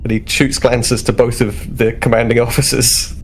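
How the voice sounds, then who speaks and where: A man's voice: medium pitch, little pitch movement, normal speed, a little hiss or hum. A man casually improvising descriptions of his character as a player in a tabletop game.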